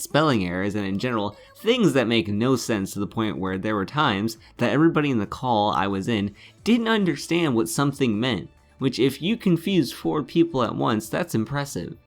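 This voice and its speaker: A man's voice: fairly low pitch, sing-song, moderate pace, few pauses. A man delivering scripted game review commentary in a scornful, sardonic manner, swearing freely.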